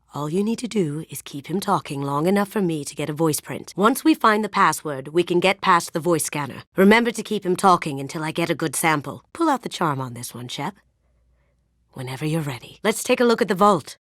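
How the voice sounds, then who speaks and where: Sly young lady thief from a game, lowish voice, cool n playful, super dry close sound. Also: a bit bright, no room sound. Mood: quiet, sly. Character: sly, confident young female master thief. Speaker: video game voice actress. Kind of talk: game character voice lines.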